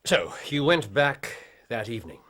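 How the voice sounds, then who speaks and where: An actor playing a composed investigator in an audio drama, asking probing questions in a measured, courteous, dry manner. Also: male voice, mid-range voice, big pitch swings, sharp tone.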